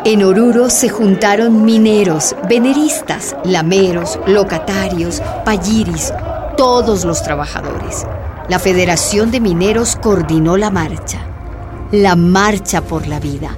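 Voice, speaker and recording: A woman narrates a radio drama in measured, declarative phrases. Her voice sits in the middle register, with music clearly playing underneath. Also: medium pitch.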